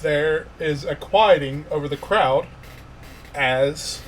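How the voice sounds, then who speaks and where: A man working as game master, narrating a tabletop fantasy game in an informal, halting way with pauses. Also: fairly high pitch, a little hiss or hum.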